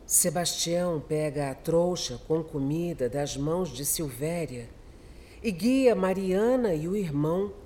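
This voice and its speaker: A woman's voice: low-pitched, expressive, a little slow, mellow, a little hiss or hum. A woman with a Brazilian accent reading scripted, dramatic narration for a radio serial.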